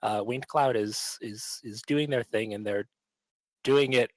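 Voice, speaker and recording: A man's voice: mid-range voice, unhurried. Man chatting casually in a roomy space. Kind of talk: casual podcast discussion.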